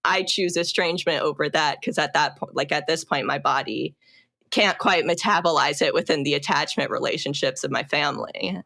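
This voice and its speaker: A young adult woman, a podcast guest, telling her own story in a halting, reflective way with many fillers and restarts. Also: deep voice, creaky, sharp tone. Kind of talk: personal storytelling in a podcast interview.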